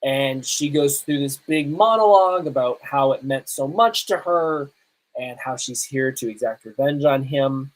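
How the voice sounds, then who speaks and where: A man hosting a podcast, reviewing movies casually in an emphatic, halting way with pauses for effect. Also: medium pitch, poor audio. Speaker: podcast host.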